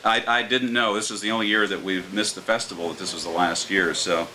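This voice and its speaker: An older American man reading his poetry live at a microphone, in a measured, earnest way. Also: low voice, fairly even intonation. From Martins Ferry, Ohio, United States. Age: older, around 60. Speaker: poet reading his own work. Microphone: microphone on a stand. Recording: clear background hiss.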